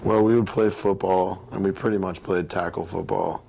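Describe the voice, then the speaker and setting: A man's voice: deep voice, fairly even intonation, moderate pace, vocal fry, mellow. A young man from Pennsylvania, a university student, joking around in a laid-back way. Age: young adult.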